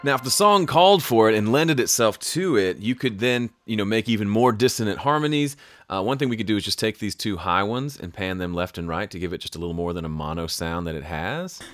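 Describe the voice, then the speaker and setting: A man giving a casual, hands-on software tutorial, thinking aloud and improvising as he goes. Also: on the low side, a little fast, no echo. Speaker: music production tutorial host.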